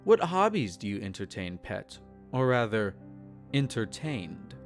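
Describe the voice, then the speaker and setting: A man's voice: on the low side, very animated, unhurried, faint music behind. A man playing a possessive, teasing vampire in an intimate roleplay audio, speaking in formal, silky tones and courtly endearments. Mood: courteous, curious. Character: possessive, teasing, courtly male vampire, centenarian yet youthful. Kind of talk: intimate roleplay audio, scripted character acting.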